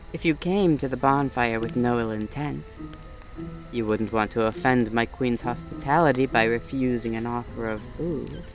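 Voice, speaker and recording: A man playing a wary fae guard of the Autumn Court, stern but soft-hearted, in a roleplay audio drama spoken straight to the listener in an accusing, wry manner. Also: mid-range voice, close to an everyday voice.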